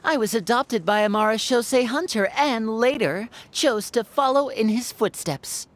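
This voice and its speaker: A woman playing a sly, self-assured young drifter in an anime game, with a smooth manner. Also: fairly high pitch, normal speed, lightly acted. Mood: confident, playful.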